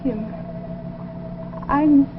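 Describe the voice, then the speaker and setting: An actress playing an earnest, troubled wife with a secret, speaking haltingly in 1950s radio drama style. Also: female voice, high-pitched, music in the background. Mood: confessing, troubled. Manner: earnest, halting, repeats phrases for emphasis. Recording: dull, noisy vintage radio recording.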